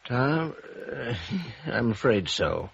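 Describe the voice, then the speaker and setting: An actor playing an elderly, genteel former film director who speaks hesitantly and rambles, with a husky, creaky voice, in a vintage radio drama. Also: male voice, medium pitch, very animated, slow, a bit bright, full character voice. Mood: gentle, deferential. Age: older. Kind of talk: 1950s radio drama acting. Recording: dull vintage radio recording.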